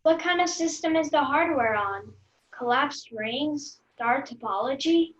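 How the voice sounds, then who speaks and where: A woman playing a precocious, bossy kindergarten girl in a screenplay table read, speaking in a matter-of-fact, deliberate way. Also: high voice, animated. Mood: blunt, matter-of-fact. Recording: echoey, muffled video call audio.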